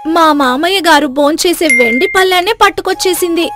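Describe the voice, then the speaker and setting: An indignant neighbour woman from a cartoon tale, acted out by a woman. She scolds in a very high voice, with quiet music behind. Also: brisk. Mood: accusing. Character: indignant, scolding neighbour women confronting a thief.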